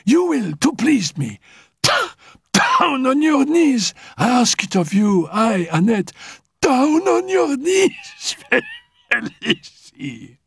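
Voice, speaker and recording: A man's voice: big pitch swings, slow pace, gravelly, breathy edge, no room sound, clean studio sound, exaggerated voice. A man voicing a frail, feverish young woman who is dying but defiant, coughing and laughing breathlessly as she shrieks. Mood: mocking gleeful. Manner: coughing fits, breathless laughter, shrill outbursts.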